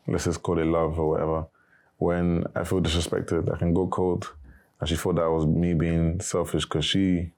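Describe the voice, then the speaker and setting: A young man, a former reality show contestant, answering interview questions in a casual, monotone way. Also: sits low. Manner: monotone, not very animated.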